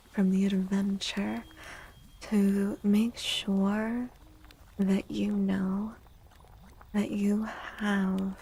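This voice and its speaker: A woman streaming soft-spoken ASMR tarot readings in a breathy half-whisper, gentle and encouraging. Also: medium pitch, low-quality recording.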